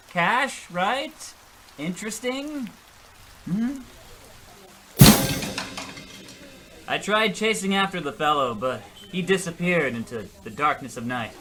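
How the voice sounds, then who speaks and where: A man's voice: a little high, bright, forceful tone, slight background noise, low-quality recording. A man playing an oblivious, self-pitying husband in a comedic radio play, earnest, defensive and rambling. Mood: earnest, melodramatic. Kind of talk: comedic old-time radio play acting.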